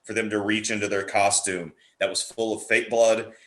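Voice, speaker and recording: A man's voice: on the low side, little pitch movement, moderate pace, a bit bright. A man chatting casually as a podcast guest, talking in an enthusiastic, rambling way. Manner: enthusiastic, rambling, acts out little quotes. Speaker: podcast guest, horror theatre director. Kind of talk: casual chat. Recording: roomy, echoey sound.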